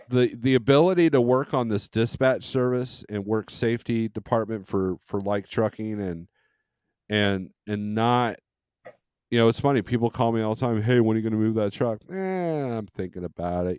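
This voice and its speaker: Man, fifties, American accent, smooth low voice, casual storytelling, very dry sound. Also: a little mellow, dry recording. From California, United States. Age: 50s. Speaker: host, truck driver and dispatcher. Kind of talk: casual open forum chat, trucking stories.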